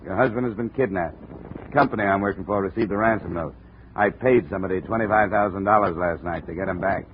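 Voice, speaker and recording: An actor playing a cool, persistent insurance investigator in a 1950s radio drama, clipped and matter-of-fact. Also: male voice, deep voice, fast talker, natural voice. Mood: cool, pressing. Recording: tinny, noisy old radio recording.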